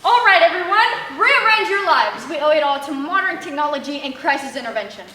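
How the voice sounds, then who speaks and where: A young female student acting as a brisk, confident marriage counselor in a theatrical fairy-tale stage comedy. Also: high-pitched, lively intonation, pushed and bright. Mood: grandly reassuring. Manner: theatrical, declamatory. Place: school stage performance, recorded from afar. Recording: distant mic, echoey stage, background hiss.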